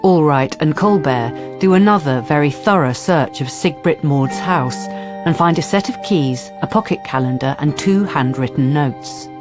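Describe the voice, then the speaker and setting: A woman narrating a radio drama as a measured, serious storyteller. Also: deep voice, expressive. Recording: radio narration over clear music.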